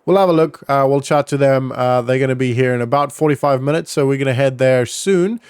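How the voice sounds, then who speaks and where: A male gaming vlogger with a clean, resonant voice at a middling pitch, recorded dry with no room sound. He talks casually and conversationally, explaining what is going on in a roleplay game as he plays. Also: fairly quick, clear, resonant tone, no room sound. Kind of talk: casual roleplay gameplay commentary.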